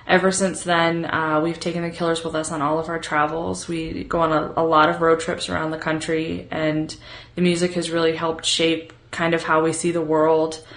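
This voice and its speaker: A woman's voice: low-pitched, level tone, moderate pace, a bit bright. A woman giving a casual testimonial as a fan in a voice message, recorded in an echoey room.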